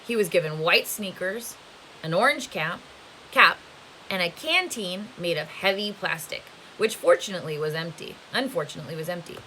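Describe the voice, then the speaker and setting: Female read-aloud narrator, teacher-like: slightly low pitch, forceful bright tone, expressive storytelling, light hiss. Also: moderate pace, low-quality recording. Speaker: teacher reading aloud to students. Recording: faint hiss behind the voice. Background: faint steady hiss.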